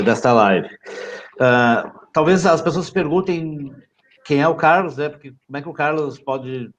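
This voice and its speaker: A man's voice: mid-range voice, muffled recording. A man born in Brazil and raised in Portugal, introducing himself hesitantly as a guest on a live chat, with frequent restarts. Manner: hesitant, many restarts and fillers.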